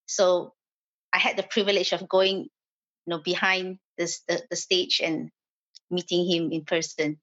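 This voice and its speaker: A woman's voice: lowish voice, flat delivery, unhurried, fairly bright tone, muffled recording. A woman from Malaysia, a property developer, speaking as a podcast guest, hesitant with many fillers and restarts.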